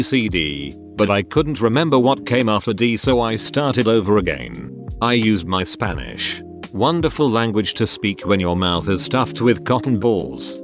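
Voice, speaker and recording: A man reading out forum stories as narration over background music. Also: lowish voice. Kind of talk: read-aloud narration.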